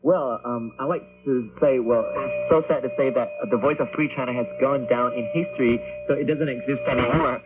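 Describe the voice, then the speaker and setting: A man's voice: medium pitch, steady tone, brisk, hardly any pauses. A man working as a radio host, announcing and chatting with a co-host. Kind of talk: radio announcing and co-hosted chat. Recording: tinny shortwave radio recording with music.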